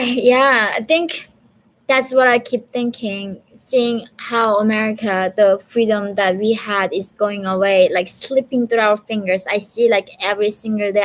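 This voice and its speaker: A woman's voice: medium pitch, poor audio. A woman from North Korea with a Korean accent, answering viewer questions in an earnest, casual live stream with lots of fillers. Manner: earnest, talkative, many fillers and restarts.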